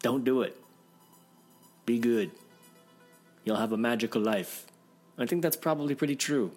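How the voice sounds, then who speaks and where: A man reads a fairy tale for children in an animated way, with dramatic pauses and playful asides. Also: mid-range voice. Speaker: story reader. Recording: music behind the voice.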